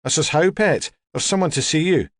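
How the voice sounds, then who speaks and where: A man's voice: a little high, brisk, dry recording, voice pushed up, natural voice. A man voicing a plain-spoken, chatty woman working as a home help, in an audiobook story. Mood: practical. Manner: chatty, forthright, unbothered.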